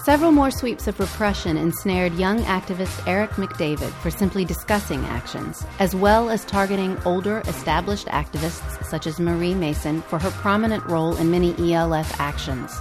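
A woman's voice: fairly low pitch, normal speed, hardly any pauses, music in the background. A woman podcast host reading a scripted narration.

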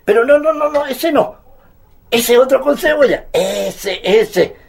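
A man's voice: very high voice, expressive, slow pace, vocal fry, slightly rough, heavily acted. A man playing a jolly, stubborn old Chilean grandfather with a big appetite in a radio drama, hesitating and repeating his words. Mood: playful protest. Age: older.

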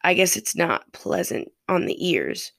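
Raspy, gravelly podcast host. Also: very animated, a little slow, fairly bright tone, no echo, clean studio sound. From Ohio, USA. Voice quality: raspy, fairly deep voice. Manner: loose and profane, drifting between topics. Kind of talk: rambling casual monologue.

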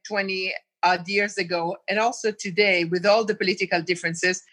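A woman's voice: medium pitch, pushed and bright. A woman in her fifties from Israel, a diplomat being interviewed, speaking thoughtfully and restarting often as she searches for words. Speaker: diplomat guest. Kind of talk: podcast interview.

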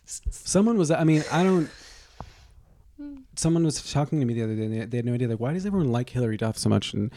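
A man's voice: mid-range voice, expressive, quick pace, mellow, a little hiss or hum. A man co-hosting a podcast, chatting casually in a teasing, rambling way with lots of restarts. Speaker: podcast co-host. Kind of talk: casual gossipy chat.

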